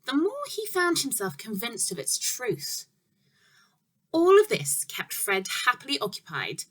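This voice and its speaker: A woman reads a children's storybook aloud at a medium pitch. Her delivery is measured and expressive, with clear phrasing and deliberate emphasis on important words. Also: low-quality recording.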